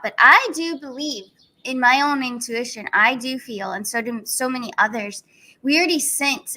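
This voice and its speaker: A woman host talks casually and at length, rambling from point to point with many fillers. Her voice sits a little high and sounds bright and forceful. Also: poor audio. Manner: chatty, rambling, frequent fillers. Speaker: channel host. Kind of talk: casual commentary.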